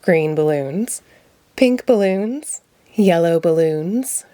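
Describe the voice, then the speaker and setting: A woman's voice: lowish voice, animated, slow pace, warm tone, smooth, no echo. A woman narrating a children's picture book as a read-aloud. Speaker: storybook reader.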